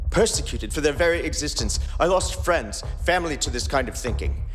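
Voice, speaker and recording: Guy reading fanfic lines, lots of pitch swings, bit high, soft music under it. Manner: voices several characters by shifting pitch and pace. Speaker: podcast co-host reading fanfiction. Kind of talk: dramatic reading of fan fiction dialogue.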